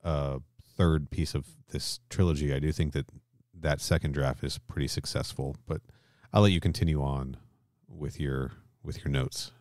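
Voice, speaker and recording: A man's voice: rumbling low, fairly even intonation, moderate pace, darkish tone, dry recording, clean studio sound. A man co-hosting a podcast in casual discussion. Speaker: podcast co-host.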